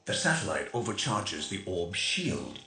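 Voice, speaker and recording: A man acting as an analytical tech strategist for a resistance crew, explaining in a precise, measured way in a video game. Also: sits low, wildly swooping pitch, pushed and bright, full character voice. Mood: explanatory. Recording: echoey, music underneath. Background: music.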